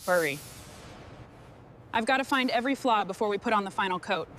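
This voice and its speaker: A woman's voice: mid-range voice, lively intonation, a little fast, fairly bright tone, slight background noise. A woman speaking short, scripted remarks and commands as a machine restorer at work.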